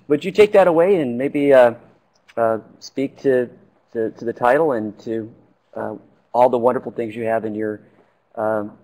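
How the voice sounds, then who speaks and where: A man's voice: mid-range voice, darkish tone, rough recording. Rambling man hosting a webinar. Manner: rambling, stumbling, many uh fillers. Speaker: webinar host.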